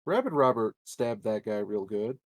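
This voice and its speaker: A man's voice: on the low side, big pitch swings, moderate pace, no room sound. Casual man in a dry, close recording. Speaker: tabletop game player. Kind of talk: casual, joking game chat.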